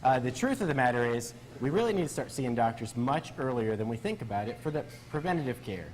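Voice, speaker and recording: Brief: male doctor guest, even mid-pitched voice, calm explainer on a news segment. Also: fast talker, a little hiss or hum, poor audio. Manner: explanatory, steady. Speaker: physician guest. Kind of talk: TV interview.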